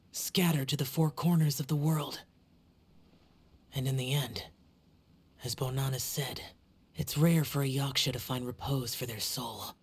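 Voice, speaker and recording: A woman voicing a solemn, aloof young male guardian spirit in a video game, speaking in a measured, formal way. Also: a bass voice, husky, full character voice.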